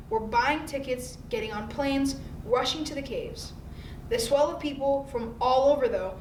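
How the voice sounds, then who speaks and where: A woman reading a scripted narrative aloud, occasionally stumbling over her words. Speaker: volunteer audiobook reader from a school community. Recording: roomy, distant, noisy with low rumble.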